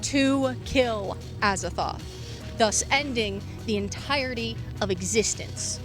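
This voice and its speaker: A woman's voice: on the high side, slow pace. A woman presenting a scripted video essay with casual asides and dramatic emphasis on key phrases. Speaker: video essayist reviewing fiction podcasts. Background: background music.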